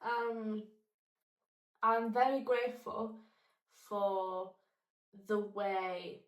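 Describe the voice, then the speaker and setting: A woman's voice: medium pitch, steady tone, very slow. A young woman speaking casually as a guest in a conversational interview. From southern Italy. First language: Italian. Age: young adult. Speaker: podcast guest. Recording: echoey room, distant microphone.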